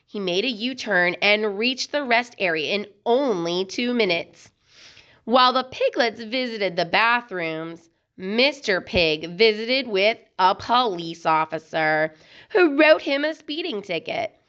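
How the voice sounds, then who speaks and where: A woman reads a children's story aloud with lively, swooping pitch. She takes her time and delivers each phrase deliberately. The home recording is slightly dull. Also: smooth. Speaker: children's book reader. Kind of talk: expressive read-aloud storytelling.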